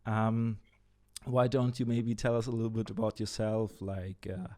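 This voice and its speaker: A man's voice: fairly low pitch, flat delivery, normal speed, warm tone. A man hosting a casual interview, chatting in an enthusiastic, affirming way. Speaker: show host interviewer.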